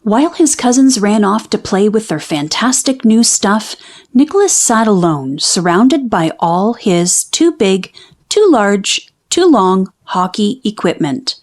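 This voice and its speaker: A woman reading a children's picture book aloud in an expressive, narrated storytelling style. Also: fairly high pitch.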